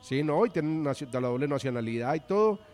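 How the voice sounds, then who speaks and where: A man's voice: a little high, animated, fast, darkish tone, faint music behind. A man hosting a radio sports show, interviewing a guest in a friendly, conversational way. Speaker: radio host.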